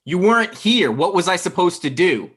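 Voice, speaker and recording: A man's voice: sits high, sing-song, normal speed, fairly bright tone, breathy edge, exaggerated voice. A young man playing a dry, defensive thirty-year-old boyfriend in a comedy table read on a video call. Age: young adult. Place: indoor room on a video call.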